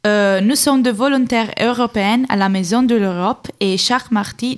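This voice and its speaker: A young woman from Turin with an Italian accent hosts a radio show as a volunteer, speaking French as a second language in a slightly halting way. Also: mid-range voice. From Turin, Italy. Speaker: radio host, European volunteer.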